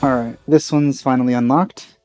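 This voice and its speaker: A man hosting a let's play, giving casual game commentary in a musing, halting way. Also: mid-range voice, fairly even intonation, darkish tone. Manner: musing, hesitant, halting phrasing. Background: game music.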